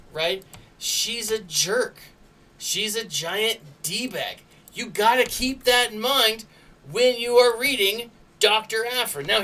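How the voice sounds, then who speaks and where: A man's voice: sits high, sharp tone, far from the mic. A man giving casual, opinionated commentary to viewers as a video host, conversational and emphatic, often checking in with a tagged-on "right?". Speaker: YouTube host and reviewer.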